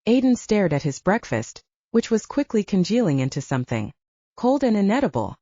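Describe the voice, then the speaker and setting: A female audiobook narrator reading scripted fiction. Her voice is mellow, with a widely varied, lively intonation. The sound is close and dry, though a little dull. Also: mid-range voice, moderate pace, dry recording.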